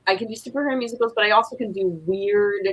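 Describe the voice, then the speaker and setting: A woman guest in a casual chat, excitable and rambling, jumping from one half-finished thought to the next. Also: a little high, fast, rough recording. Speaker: podcast guest, playwright.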